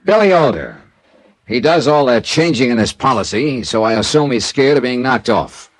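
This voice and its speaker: A hard-boiled insurance investigator from a classic radio serial. His mid-pitched voice swings widely in tone, with a sardonic, clipped edge. He sounds tough and wry. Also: very animated, moderate pace, dry recording. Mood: brisk reasoning. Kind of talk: classic radio detective drama acting. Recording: vintage radio drama with music.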